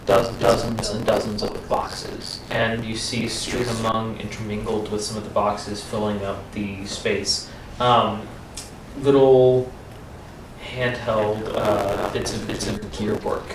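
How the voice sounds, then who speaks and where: A man improvising narration as a tabletop game master, halting and pausing mid-description. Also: on the low side, flat delivery. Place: echoey room, live-streamed game session. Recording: roomy, distant mic, noisy background.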